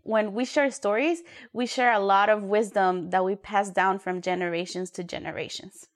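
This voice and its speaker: A woman's voice: medium pitch, fairly even intonation, normal speed. A woman from Ecuador co-hosting a youth podcast, chatty and friendly, with fillers. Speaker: podcast co-host, youth worker.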